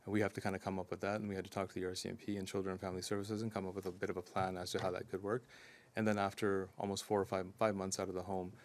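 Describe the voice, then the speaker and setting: A man speaking as a community advocate at a news conference, earnest and steady, with frequent ums and uhs. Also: sits low, racing. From Canada. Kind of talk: press conference statement.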